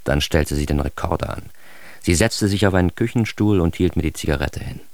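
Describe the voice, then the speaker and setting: A naive, bookish young man acted by a man tells his story in a low voice, close and dry. He is wry and self-mocking, with comic earnestness and careful wording, in a radio play. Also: fairly quick, darkish tone, no room sound, natural voice. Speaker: first-person narrator. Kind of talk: radio drama narration.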